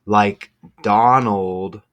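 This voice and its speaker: A man presenting an online video in a casual, off-the-cuff way, halting and emphatic, often checking in with the listener. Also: on the low side, fairly even intonation, fairly bright tone, clean tone, dull audio. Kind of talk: casual off-the-cuff explainer with numerology.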